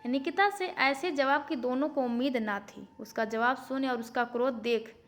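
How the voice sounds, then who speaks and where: A woman's voice: high voice, normal speed. A woman storyteller reading a scripted family moral story in audiobook narration. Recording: faint background music. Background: faint music.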